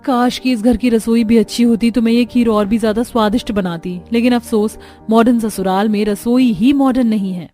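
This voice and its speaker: Woman voicing a high, soft-toned young daughter-in-law. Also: steady tone, normal speed, faint music behind, natural voice. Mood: wistful. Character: young, frustrated, polite Indian daughter-in-law. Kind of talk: Hindi cartoon family story dubbing.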